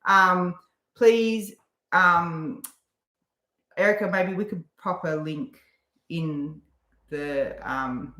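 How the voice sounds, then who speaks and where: A woman co-hosting a casual live stream, relaxed, with lots of "um" pauses as she reads out comments. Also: on the low side, roomy sound, rough recording.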